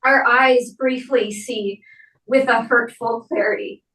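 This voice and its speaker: Slow, high-voiced woman in an echoey room. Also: fairly even intonation, fairly bright tone. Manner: measured, deliberate phrasing with pauses. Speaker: speaker giving a eulogy for their parent. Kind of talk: memorial speech and poem reading. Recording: roomy echo, distant mic.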